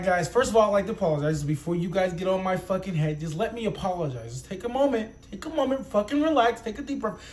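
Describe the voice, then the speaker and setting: Hyped young reaction-channel host. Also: male voice, high-pitched, a little mellow, slight background noise. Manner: rambling, profane, hyped street slang. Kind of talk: casual vlog intro.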